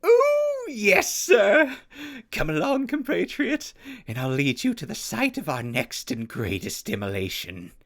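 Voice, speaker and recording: Crazy clown minion for a radio play, super high and loud, gushy and theatrical. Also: male voice, huge pitch swings, measured pace, heavily acted. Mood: gleefully eager. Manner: theatrical, florid, gushing. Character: manic male clown henchman, eager, obedient and gleefully destructive. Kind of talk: post-apocalyptic radio drama acting.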